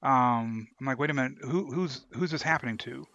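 A man with a bright, forceful voice chats casually as a podcast co-host, pausing to search for words. The recording is very dry and slightly muffled. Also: medium pitch, dry recording. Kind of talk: casual chat reviewing an audio drama.

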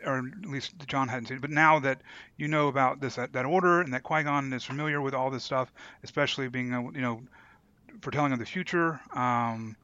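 A man's voice: mid-range voice, a little fast, pushed and bright, dry recording, muffled recording. A man co-hosting a podcast in casual fan discussion, thinking aloud tentatively as he works through his points. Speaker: podcast host.

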